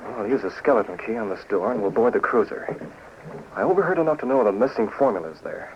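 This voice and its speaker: Under clear hiss on a muffled vintage radio recording, a decisive crime-fighter speaks in a low voice. He is steady and authoritative. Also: fast. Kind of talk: 1940s radio acting.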